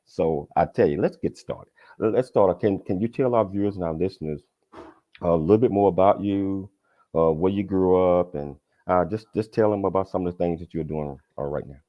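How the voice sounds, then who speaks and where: Deep-voiced chatty talk show host man. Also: normal speed, no room sound, muffled recording. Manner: enthusiastic, chatty, many fillers. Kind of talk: casual chat.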